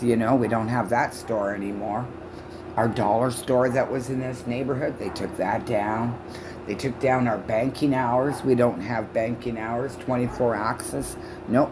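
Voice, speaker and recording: A woman in her sixties from Canada ranting loudly and profanely to camera on her own channel, her speech halting from false teeth. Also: very deep voice, background noise. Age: 60s. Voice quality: loud voice. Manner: shouting, profane, accusatory. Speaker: vlogger. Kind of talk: angry rant to camera.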